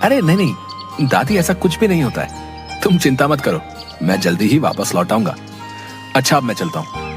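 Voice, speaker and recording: Man voicing a lively carefree young man. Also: mid-range voice, normal speed, long pauses, close to an everyday voice. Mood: dismissive. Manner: breezy, dismissive. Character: cheerful carefree young city grandson. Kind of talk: cartoon storybook voice acting. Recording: background music.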